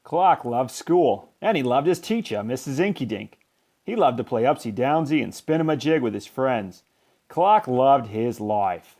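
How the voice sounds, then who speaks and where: A man reading a children's picture book aloud in expressive narration, with emphatic, deliberate phrasing. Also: medium pitch. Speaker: storybook reader.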